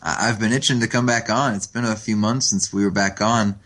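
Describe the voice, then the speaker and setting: A man's voice: fairly low pitch, fairly even intonation, a little fast, fairly bright tone. A man guesting on a sports podcast over the line, making earnest, analytical points with plenty of fillers. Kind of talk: casual sports chat. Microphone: phone line.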